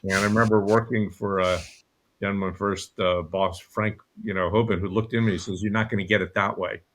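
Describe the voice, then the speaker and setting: An older man, reminiscing conversationally as a guest on an online call, in halting phrases with rhetorical questions. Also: lowish voice, fairly even intonation, a bit bright.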